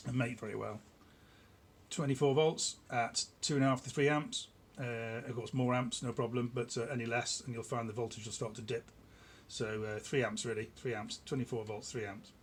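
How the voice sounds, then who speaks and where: A middle-aged man giving a casual, informal product demonstration in a small indoor workshop, explaining haltingly and repeating words for emphasis. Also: medium pitch. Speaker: electronics builder presenting their own product. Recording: clear background noise in a room.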